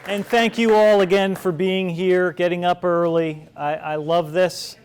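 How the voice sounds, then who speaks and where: A man's voice: sits high, steady tone, unhurried, a faint crowd, slight background noise. College president guy, casual and conversational, talking to a room of alumni. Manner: conversational, self-deprecating, with restarts. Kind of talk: informal speech to alumni. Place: large meeting room.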